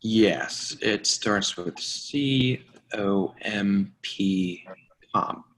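A man acts out a self-important boss in an office in a stage play reading. His voice sits fairly low. He speaks very slowly and deliberately, halting between thoughts. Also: fairly bright tone, a little hiss or hum, rough recording. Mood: preoccupied. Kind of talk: readers' theatre play reading.

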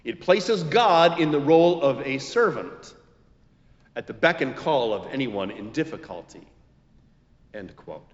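A man preaches in a muffled recording with long hall reverberation. He takes his time, phrasing his prepared sermon emphatically and with very animated intonation. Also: mid-range voice, a little slow. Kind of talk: scripted expository sermon with quotations.

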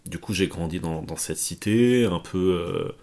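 A man's voice: deep voice, unhurried, dark tone. A man born in Portugal, a guest in an interview, talking hesitantly with many fillers and restarts. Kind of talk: conversational interview.

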